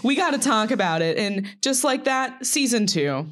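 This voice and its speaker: A woman's voice: fairly high pitch, animated, moderate pace, a bit bright. A woman playing a businesslike talent agent in a comedy sketch, reading casually. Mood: brisk pressure. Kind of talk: comedy sketch table read.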